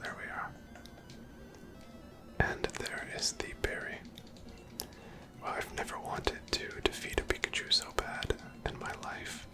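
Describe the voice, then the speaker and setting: Soft whispered ASMR game commentary. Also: measured pace, pauses often, over loud music. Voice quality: breathy, unvoiced whisper. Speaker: video game streamer narrating own playthrough.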